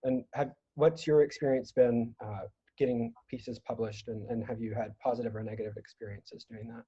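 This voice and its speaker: A man's voice: medium pitch, steady tone, normal speed, warm tone, slightly rough. A man moderating a casual discussion over a video call, asking questions in a tentative, meandering way. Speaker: panel moderator. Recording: dull, lo-fi video call audio.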